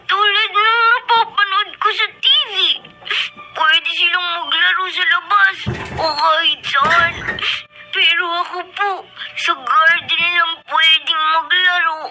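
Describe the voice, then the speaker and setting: A woman's voice: very high-pitched, fairly even intonation, a little slow, bright, forceful tone, soft background music, heavily acted, poor audio. A woman playing a lonely, tearful young child who pleads politely and plaintively in a Filipino radio drama. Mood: pleading, frustrated.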